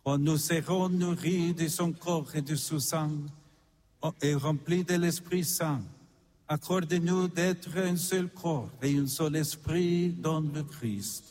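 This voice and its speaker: Slow solemn priest in a church. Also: male voice, a little high, a little mellow. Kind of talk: liturgical prayer. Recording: long church reverb.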